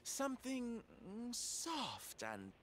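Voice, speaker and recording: A woman's voice: on the high side, wildly swooping pitch, drawn-out words, slight background noise, exaggerated voice. A woman voicing a bold, flamboyant adventurer in a video game, theatrical, coy and playful with mock sweetness. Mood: coy, luring.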